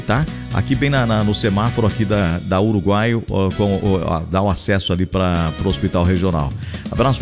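A man with a southern Brazilian accent hosting live radio in a chatty, effusive way, often repeating his phrases. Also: lowish voice. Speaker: radio host. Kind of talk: live radio chat, shout-outs and ad reads. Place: radio studio. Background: music under the voice.

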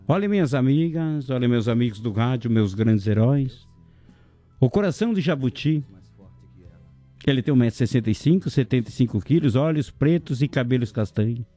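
A chatty man hosting a local radio show. His voice is dark and mellow, with soft music playing faintly behind him. He jokes around and rattles off shout-outs to listeners and sponsors. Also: mid-range voice, expressive, fairly quick, no room sound. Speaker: radio host. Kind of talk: casual local radio chat.

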